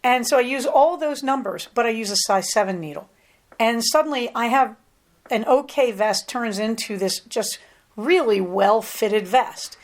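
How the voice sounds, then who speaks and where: A middle-aged American woman hosting a podcast, talking in a chatty, rambling way with plenty of asides. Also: on the high side. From United States. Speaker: podcast host. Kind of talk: casual solo podcast chat.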